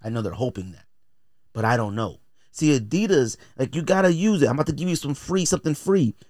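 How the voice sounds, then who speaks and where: A man's voice: mid-range voice, expressive, fairly quick, a little mellow, no echo, clean studio sound. A man hosting a podcast in a casual, opinionated rant, emphatic and exasperated, repeating phrases to drive points home. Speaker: podcast host.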